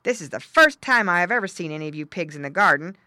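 Woman as a loud, barking storybook guard dog. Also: lowish voice, lively intonation, fast talker, no echo, clean studio sound. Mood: stern. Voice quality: barking, growling. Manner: firm, loud, scolding. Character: big stern but polite male farm dog. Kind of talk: children's storybook character voice.

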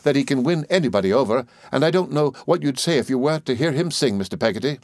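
A man's voice: mid-range voice, expressive, fast talker, a little mellow, no echo, voice pushed up, lightly acted. A man voicing an earnest, well-spoken young schoolboy, polite and effusively admiring, in a storybook reading. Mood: eager admiration.

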